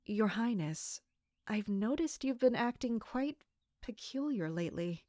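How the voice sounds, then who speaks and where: Royal attendant, female actor: polite, articulate, observant, medium pitch, audio drama, dry recording. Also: animated, unhurried, dark tone, no echo, natural voice. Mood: measured, guarded. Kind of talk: audio drama acting with inner-monologue narration.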